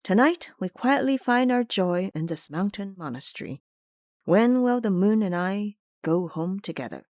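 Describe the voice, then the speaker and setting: A woman voicing a gentle, humble male elder monk in an audio drama, polite and subdued. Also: mid-range voice, lively intonation, normal speed, no echo, lightly acted. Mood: wistful.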